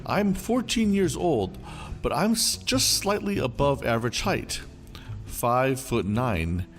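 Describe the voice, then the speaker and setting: A man hosting a storytelling channel, giving an expressive scripted reading of online stories. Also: mid-range voice, slow pace. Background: faint background music.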